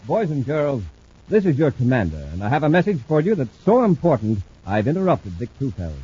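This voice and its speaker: A man's voice: mid-range voice, sing-song, fairly quick, warm tone, slightly rough. An actor playing a brave male space commander, speaking in earnest, clipped 1950s radio drama style. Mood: urgent pitch. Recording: muffled old radio transcription.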